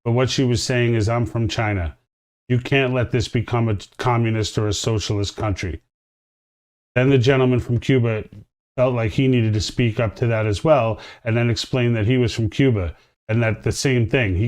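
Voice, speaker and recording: A man's voice: medium pitch, steady tone, moderate pace, darkish tone. A man hosting a podcast, giving earnest, emphatic commentary between video clips. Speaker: podcast host.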